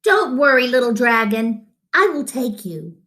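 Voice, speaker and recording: A woman's voice: fairly high pitch, sing-song, a little slow, a bit bright. A woman voicing a kindly, bookish young male knight who talks in an earnest, instructive way. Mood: reassuring.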